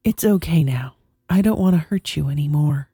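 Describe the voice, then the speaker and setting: A man voicing a tall, bitter young woman in a soft, tense, resentful voice. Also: a little high, animated, moderate pace, husky, dry recording, clean studio sound, pitched above the performer's own voice, full character voice.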